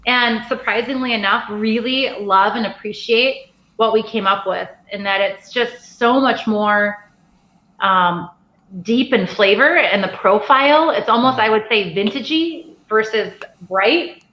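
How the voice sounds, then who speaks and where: A woman's voice: fairly high pitch, measured pace, sharp tone. A woman in her forties, a guest distiller, chatting casually and rambling with easy laughs over a video call. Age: 40s. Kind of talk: casual livestream chat. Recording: echoey, dull, faint clicks.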